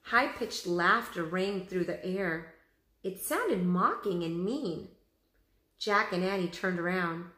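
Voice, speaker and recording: A woman's voice: fairly low pitch, slow, pushed and bright. A woman teacher reading a children's story aloud expressively, addressing young pupils. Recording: echoey room.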